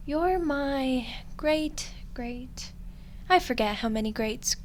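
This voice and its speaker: Woman playing a slow-speaking, smug ancient demoness. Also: high voice, animated, slight background noise. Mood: musing, revealing. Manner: slow, condescending, deliberate, with dramatic pauses. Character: ancient female demon, smug, condescending, coolly menacing deal-maker. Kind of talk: roleplay ASMR audio drama.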